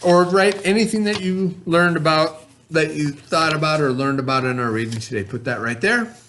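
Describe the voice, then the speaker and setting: A man's voice: fairly high pitch, normal speed, a bit bright, slight background noise. A man teaching young children, reading a story aloud and then reviewing it with patient questions.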